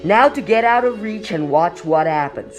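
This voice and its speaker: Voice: medium pitch, expressive, normal speed, close to an everyday voice. Comic dub hero: earnest, determined young male superhero played by an actor, light boyish register, faint music underneath. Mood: tense, determined. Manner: earnest, narrating own actions. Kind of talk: comic book dub acting.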